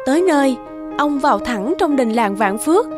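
A woman narrating an animated folk tale in a scripted storybook style. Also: sits high, moderate pace. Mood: storytelling. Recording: background music under the voice.

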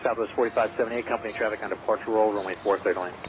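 A male tower controller with a lowish voice issues landing and taxi clearances very quickly. His delivery is terse and businesslike. It comes through a hissy airband scanner radio. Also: fairly even intonation. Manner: brisk, terse instructions. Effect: two-way radio transmission. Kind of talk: clipped radio phraseology.

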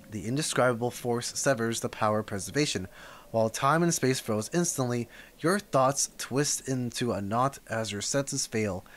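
A man casually rambling on a livestream as he reacts to a game, with soft music behind him. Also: medium pitch. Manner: rambling, animated, reads chat aloud.

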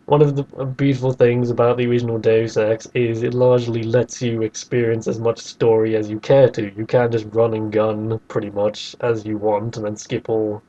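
Man, podcast host, mellow tone, casual debate, argumentative, slightly muffled lo-fi recording. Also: medium pitch, flat delivery, moderate pace, few pauses. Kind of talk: casual debate about video games.

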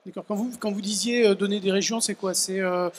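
High-voiced male panel host. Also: fairly even intonation, fairly quick, a little hiss or hum. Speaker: host, moderator. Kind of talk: moderating a panel.

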